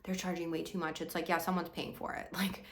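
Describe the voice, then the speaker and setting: A woman's voice: on the low side, level tone, fairly quick. A young woman from Hong Kong, a business coach talking casually to her audience, full of "like" fillers and light laughs. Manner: chatty, many "like" fillers, laughs often. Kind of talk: casual monologue to camera.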